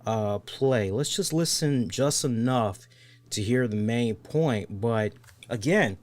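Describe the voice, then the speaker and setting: A man's voice: medium pitch, animated, takes it slowly, darkish tone. A man hosting a live-streamed wrestling talk show, rambling conversationally and working through everyday hypothetical examples. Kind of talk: live-streamed casual commentary.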